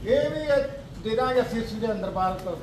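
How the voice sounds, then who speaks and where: Punjabi farmer, high voice, short repeated yeses; echoey cattle shed. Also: male voice, lively intonation, fast talker, a little mellow, distant mic, slight background noise. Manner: short affirmations, repeats "hanji". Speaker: farmer selling his buffaloes. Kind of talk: casual answers to questions.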